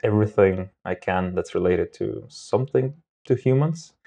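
Man, low pitch, very mellow tone, casual interviewee, halting word choice. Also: gravelly. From Moldova. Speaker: podcast guest.

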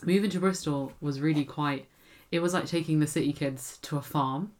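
A young woman from England in casual chat, co-hosting, chatty and animated as she guesses. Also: low-pitched, moderate pace. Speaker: co-host.

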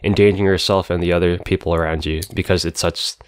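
A young man in casual chat, calm and measured, reasoning things through carefully. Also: low voice, smooth, dry recording, studio quality. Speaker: podcast co-host.

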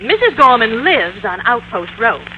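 A stern wife, noisy old radio. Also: female voice, fairly high pitch, very animated, unhurried. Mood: firm, worried. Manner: stern, formal, emphatic. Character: grave, proper middle-aged wife and mother. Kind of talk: old-time radio sitcom acting. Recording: dull, noisy vintage radio recording.

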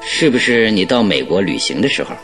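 An actor in a radio drama playing a genial, self-assured detective with a teasing, politely probing manner. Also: male voice, fairly low pitch, sing-song, brisk, fairly bright tone, faint music behind, poor audio. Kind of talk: Chinese radio drama acting.

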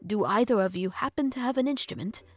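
A woman's voice: on the high side, fast, soft background music, natural voice. A woman voicing an old male professor, eager, inquisitive and theatrical, in a storybook narration. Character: excitable, curious old male professor and researcher.

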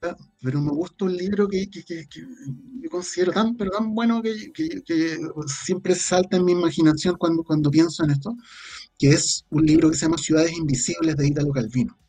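A man with a Chilean accent answering interview questions as a guest, reflective and halting as he searches for words. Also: on the high side, warm tone, breathy edge, faint music behind, poor audio. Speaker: guest, audio drama creator.

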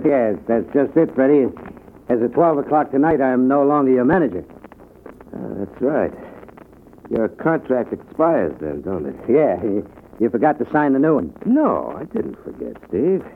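An actor playing a worried boxing manager in a 1950s radio drama, fretful and pleading. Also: male voice, medium pitch, big pitch swings, normal speed. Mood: anxious. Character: anxious worn boxing manager. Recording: tinny old radio broadcast with faint hiss.

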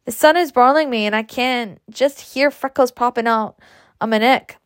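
A woman's voice: fairly high pitch, normal speed, dry recording, studio quality, close to an everyday voice. A woman voicing an impatient, complaining young daughter in a storybook. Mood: impatient, whiny.

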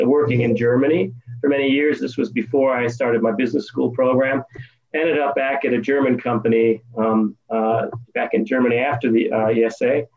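A man's voice: mid-range voice, lo-fi sound. A man in his fifties, originally from the United States, answering interview questions in a casual, hesitant way with many ums and restarts. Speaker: guest. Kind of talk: casual interview over a call.

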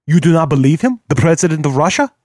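A man voicing a courteous, formal male diplomat with a higher, measured delivery. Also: animated, darkish tone, no room sound, clean studio sound. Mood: earnest.

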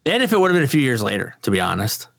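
A man co-hosting a loose movie podcast, rambling through opinions with plenty of swearing and laughter. His voice sits at an ordinary pitch. The sound is dry and close to the mic. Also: wildly swooping pitch, very fast, vocal fry, fairly bright tone, dry recording. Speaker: podcast co-host. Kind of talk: casual movie podcast chat.

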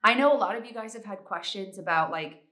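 A woman's voice: medium pitch, expressive, fast talker, fairly bright tone. A young woman hosting a solo podcast in a chatty, upbeat way, congested from allergies. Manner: chatty, upbeat, many fillers. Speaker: podcast host.

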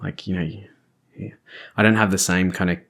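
A man's voice: deep voice, steady tone, normal speed, creaky, a bit bright. A young Australian man hosting a casual podcast chat, rambling with lots of fillers and restarts. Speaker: podcast host.

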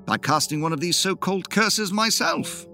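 Man voicing Tate, a declaiming Victorian gentleman. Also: sits high, animated, normal speed, vocal fry, faint music behind, pitched above the performer's own voice, full character voice. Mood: persuasive. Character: earnest Victorian gentleman, club leader, rationalist.

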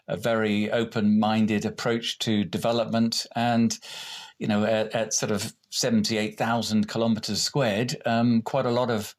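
A man, answering interview questions in a hesitant way, full of restarts and fillers. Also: fairly low pitch. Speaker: renewable energy executive.

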